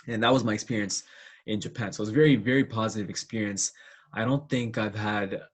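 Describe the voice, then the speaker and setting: Young guy, low husky voice, rambly chat with lots of uhs. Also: fairly even intonation, quick pace. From Canada. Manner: rambling, many restarts and fillers. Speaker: co-host. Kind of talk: casual discussion.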